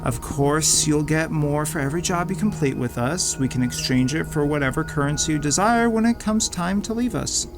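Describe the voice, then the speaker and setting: An actor playing a smug, menacing crime lord in an audio drama, pompous and taunting with drawn-out mock politeness. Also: male voice, a little high, expressive, over music. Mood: smug, pleased. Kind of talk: audio drama villain acting.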